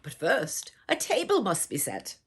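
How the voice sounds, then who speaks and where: A woman voicing a wily male soldier in a storybook, coaxing and mock-wistful, with drawn-out, grand phrasing. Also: mid-range voice, huge pitch swings, husky, heavily acted. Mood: grand, announcing.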